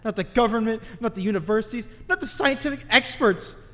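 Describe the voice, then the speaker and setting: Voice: medium pitch, a little fast, lightly acted. An actor playing an anxious, guilt-ridden teenage boy who rambles and frets in a dramatic comic dub. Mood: anxious, self-doubting. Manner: rambling, fretful self-questioning with muttered asides.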